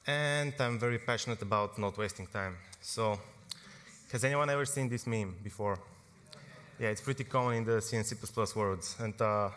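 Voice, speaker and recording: A man's voice: fairly low pitch, a bit bright. A young man from Bulgaria giving a technical conference talk, informal, with frequent fillers and self-corrections. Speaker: conference speaker. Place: large conference hall. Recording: long hall reverb.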